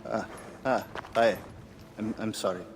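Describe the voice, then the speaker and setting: An actor playing a troubled male high priest who stammers and pleads. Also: steady tone, a little hiss or hum. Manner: halting, stammering, desperate. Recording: game audio with reverb and music.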